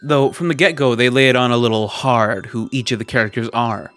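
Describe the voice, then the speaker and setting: A man hosting a video essay, reading scripted commentary in a measured, wry way and stretching words for emphasis. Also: mid-range voice, steady tone, a bit bright. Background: background music.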